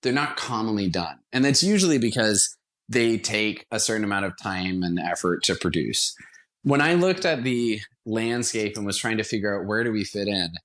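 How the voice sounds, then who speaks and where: A man with a fairly low-pitched voice talks as a podcast guest. He is enthusiastic and conversational, explaining things in a casual interview style. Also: animated, moderate pace, fairly bright tone. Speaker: interview guest, radio theater producer.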